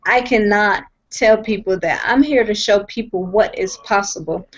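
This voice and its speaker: A woman's voice: medium pitch, moderate pace. A young woman at home on a video call, telling her story in an earnest, emphatic way, often repeating herself and restarting sentences. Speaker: guest sharing her story. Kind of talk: personal testimony on a video call. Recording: dull lo-fi video call audio.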